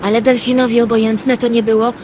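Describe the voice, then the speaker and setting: Woman as a wistful storyteller, fairly high voice. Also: fast, noisy background, natural voice. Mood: storytelling. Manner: literary, expressive storytelling. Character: Lady Number Two, wistful female dreamer telling her dream. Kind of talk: scripted audio drama narration.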